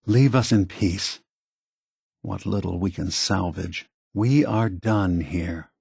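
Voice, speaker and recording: A man playing a weary, grave synth leader in a video game, speaking deliberately and solemnly with a husky voice. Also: low-pitched, a little mellow, no room sound, studio quality, exaggerated voice. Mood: bitter anger. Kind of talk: scripted video game voice acting.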